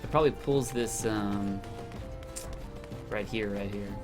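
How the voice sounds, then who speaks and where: A man hosting a let's play, talking slowly and thinking aloud, often pausing on fillers as he explains what he sees. Clear game music plays underneath his voice. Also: on the low side. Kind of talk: casual gameplay commentary. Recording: game music playing behind the voice.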